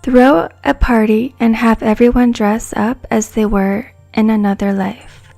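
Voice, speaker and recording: Soft asmr lady reading slowly, hushed n soothing, bit high voice, music behind. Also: little pitch movement, vocal fry. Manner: hushed, slow, soothing delivery. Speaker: ASMR channel narrator. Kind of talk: soft-spoken ASMR scripted narration.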